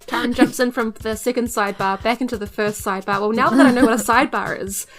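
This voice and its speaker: A woman's voice: fairly high pitch, steady tone, fast talker, soft background music. A woman chatting casually as a player in a tabletop game. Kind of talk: casual roleplay chat.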